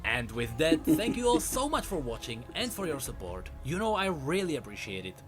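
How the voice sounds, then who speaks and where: A man's voice: a little high, big pitch swings, normal speed. A man narrating a lore story in a scripted storytelling style, with music underneath. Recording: music under a played-back video. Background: background music.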